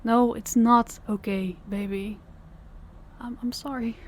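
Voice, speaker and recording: A young woman playing a worn-out, loving girlfriend who talks softly and hesitantly, often stumbling over her words. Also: on the high side, fairly even intonation, takes it slowly, a little hiss or hum. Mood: weary tender. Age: young adult. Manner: soft, hesitant, stammering restarts. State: exhausted. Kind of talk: audio roleplay acting.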